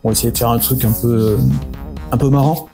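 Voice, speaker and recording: A man's voice: mid-range voice, fairly even intonation, fast, over music. A French man talking casually to his channel's viewers, rambling and joking at his own expense with lots of hesitations. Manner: rambling, self-mocking, many hesitations. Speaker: miniature-hobby YouTuber. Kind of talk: casual vlog talk to subscribers.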